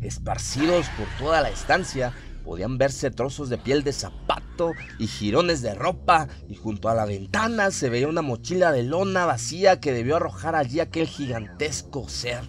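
A man reading a scripted horror story as a narrator, in a dramatic, suspenseful manner with drawn-out phrasing. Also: mid-range voice, animated. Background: music.

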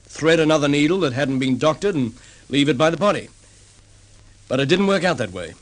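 An actor playing a calm, methodical detective sergeant laying out a case in an old radio drama. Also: male voice, a little high, natural voice, low-quality recording. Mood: measured. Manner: measured explaining. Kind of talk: vintage radio drama acting.

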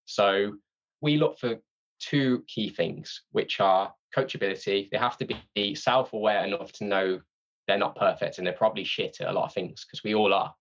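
A man with a lowish, gravelly voice answering questions on a podcast. He talks with energy, in a loose conversational way, often repeating a word as he restarts. The audio sounds dull and lo-fi. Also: a bit bright. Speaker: founder of a sales training company.

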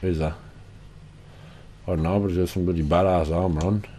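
Tired, hoarse young gamer. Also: male voice, low voice, steady tone, fairly quick, dark tone, slight background noise. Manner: sweary, chatty, self-deprecating. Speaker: gaming channel host. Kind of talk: casual gameplay commentary.

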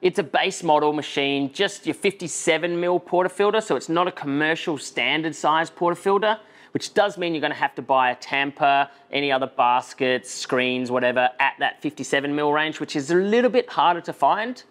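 Australian man, thirties, fairly high voice, animated, blunt opinions, to-camera chat. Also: moderate pace. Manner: animated, talks with his hands, frank opinions. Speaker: coffee reviewer. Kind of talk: casual to-camera product advice. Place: indoor studio set with shelves and plants.

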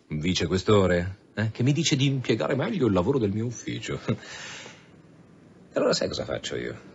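Low, animated, sardonic police commissioner. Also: male voice. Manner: sarcastic, hesitant repetitions building to veiled threats. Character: sardonic, persistent male police commissioner, needling and insistent. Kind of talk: Italian radio drama acting. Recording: dull sound with faint background noise.

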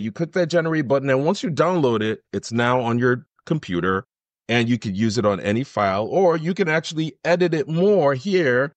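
A middle-aged man explaining software in an enthusiastic, conversational tutorial style. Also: fairly high pitch, no echo. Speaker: tutorial host.

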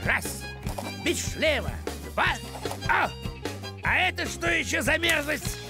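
An actor playing a fussy, officious middle-aged village postman in a cartoon, with pompous bureaucratic phrasing and a creaky voice. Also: extremely high pitch, animated, takes it slowly, music in the background, full character voice. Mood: officious, stern. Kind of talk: cartoon voice acting.

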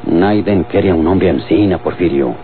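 Man playing a very deep, blunt Mexican cowboy. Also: normal speed, slight background noise, close to an everyday voice. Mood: insistent, bitter. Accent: rural Mexican. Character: blunt, stubborn, accusing rustic cowboy henchman, male. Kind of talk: old Mexican radionovela acting. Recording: narrow, tinny old radio recording, music behind.

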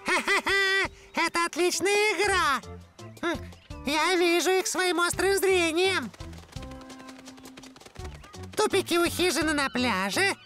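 An excitable little boy penguin from a cartoon, bouncy and eager. The voice is very high and piercingly bright, with a slow delivery. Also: faint music behind, exaggerated voice. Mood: proud, eager. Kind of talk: animated cartoon dub.

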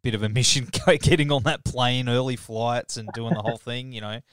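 A man's voice: medium pitch, fairly even intonation, brisk, a bit bright, clean tone, no echo, studio quality. A man hosting a podcast, asking questions in a friendly, laid-back, matey way. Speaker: podcast host. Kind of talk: casual interview.

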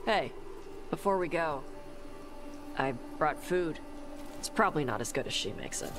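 Terse, blunt young horned warrior. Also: female voice, low voice, animated, moderate pace, over music. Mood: subdued. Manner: terse, gruff, understated. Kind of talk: video game dialogue.

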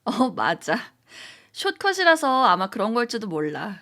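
An actress playing a gentle, hesitant young woman who is a senior colleague about to leave her job, in an amateur audio drama. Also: a little high, very animated, a little slow, heavily acted. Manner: soft, hesitant, friendly.

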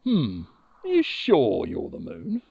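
A man voicing a dependable, sceptical male badger in a told story, plain-spoken and a little halting. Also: low-pitched, wildly swooping pitch, vocal fry, husky, dry recording, dull audio, pitched below the performer's own voice, heavily acted.

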